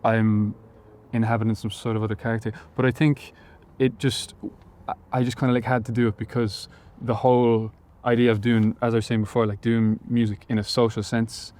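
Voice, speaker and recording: A man's voice: medium pitch, little pitch movement, fairly quick, hardly any pauses, darkish tone. A young man from Galway with an Irish accent, answering interview questions hesitantly with many fillers and restarts. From Ireland, Galway. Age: young adult. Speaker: musician guest.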